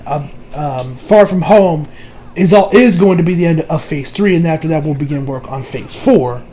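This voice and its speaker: A man's voice: on the high side, normal speed, dark tone, low-quality recording. A man giving a casual, unscripted movie review in an informal, emphatic way with plenty of swearing. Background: background music.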